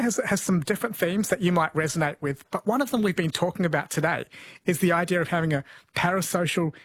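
A man who grew up in New Zealand, hosting a late-night radio chat in a friendly, joking way. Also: fairly high pitch. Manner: friendly, joking, stumbles over names. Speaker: radio host.

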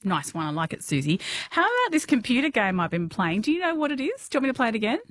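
A woman's voice: on the high side, huge pitch swings, rapid-fire, fairly bright tone. A woman hosting a phone-in radio quiz, chatty and encouraging, often laughing. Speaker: radio host.

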